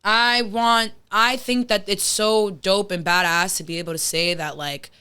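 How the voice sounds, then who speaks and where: A woman's voice: mid-range voice, fairly even intonation, a little slow, bright, forceful tone, smooth. A young woman hosting a casual podcast chat, reflective and frank, with lots of fillers and some swearing. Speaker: podcast host. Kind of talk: casual conversation.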